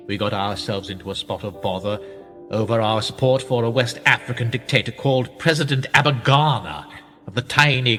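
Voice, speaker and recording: An actor in a radio play voicing a worldly, powerful establishment insider, speaking in a pedantic, suavely condescending way. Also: male voice, medium pitch, sharp tone. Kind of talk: radio drama dialogue. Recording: long reverberant hall, music underneath. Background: music.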